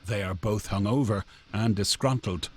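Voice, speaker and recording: A man's voice: fairly low pitch, slight background noise, lightly acted. A man playing a formal narrator in a radio play, reading out in a dry, official manner. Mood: official, detached. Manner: dry, official, measured wording. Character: formal male narrator reading factory reports and stage directions.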